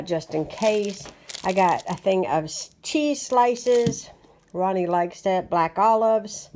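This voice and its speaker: A woman's voice: medium pitch, a little mellow, a little hiss or hum. A woman in her forties from West Virginia with an Appalachian accent, chatting casually to a phone camera at home in her morning froggy voice. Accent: Appalachian American. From West Virginia, USA. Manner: chatty, homey, rambling with fillers. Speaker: home vlogger. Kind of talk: casual chat to camera, grocery haul. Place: small bedroom indoors. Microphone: phone at arm's length.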